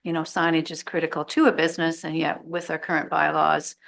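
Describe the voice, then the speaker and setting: A woman with a very low, gravelly voice speaks at a council meeting. Her pitch stays flat and even as she poses measured, thoughtful questions. She speaks into a desk microphone in a council chamber. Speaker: deputy mayor.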